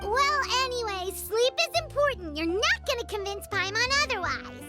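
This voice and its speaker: A woman voicing a small, excitable and anxious childlike fairy companion in a video game, with a squeaky, exclamatory delivery. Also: normal speed. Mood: worried. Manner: exclamatory, speaks of herself in third person. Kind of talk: video game character acting. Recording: game music loud behind voice. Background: loud game music.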